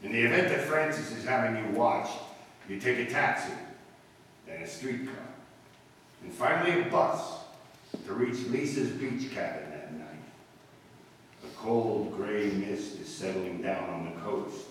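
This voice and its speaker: An ominous, knowing crime-story narrator played by a man, speaking low in measured, dramatic phrases. It is old-time radio suspense style, heard from a distance with strong echo and faint noise. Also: fairly bright tone. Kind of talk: 1940s radio suspense narration, second person. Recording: distant, echoey hall, background noise.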